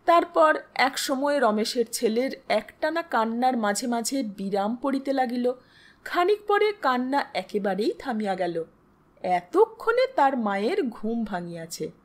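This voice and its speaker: Higher-pitched woman narrating a story. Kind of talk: scripted literary story narration.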